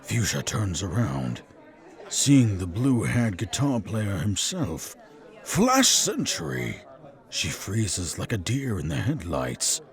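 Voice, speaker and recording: Slow, very animated low-voiced male narrator. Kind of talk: scripted story narration.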